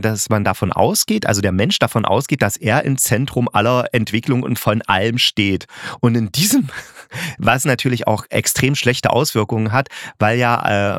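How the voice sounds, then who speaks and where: A man's voice: mid-range voice, no echo, studio quality. A man giving a casual, unscripted review as a host, musing and arguing colloquially while he thinks aloud. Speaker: audio drama reviewer and host.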